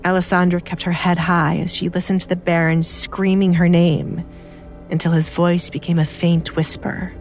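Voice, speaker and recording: A woman's voice: fairly low pitch, level tone, moderate pace, a little mellow, soft background music. A woman reading a scripted dramatic story as an audiobook narrator.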